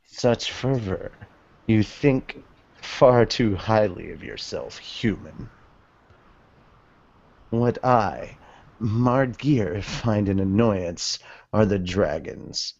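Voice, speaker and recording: Podcaster putting on a low, arrogant demon villain voice. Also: animated, creaky, darkish tone, lo-fi sound, heavily acted. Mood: haughty disdain. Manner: slow, haughty declaiming. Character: arrogant demon villain, cold and disdainful toward humans.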